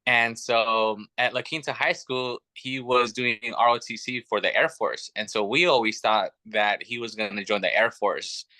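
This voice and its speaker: A man's voice: medium pitch, steady tone, normal speed, few pauses, sharp tone, dry recording. A man in his thirties, a guest telling his family story in an earnest, chatty way with many fillers. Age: 30s. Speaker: podcast guest. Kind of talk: casual chat.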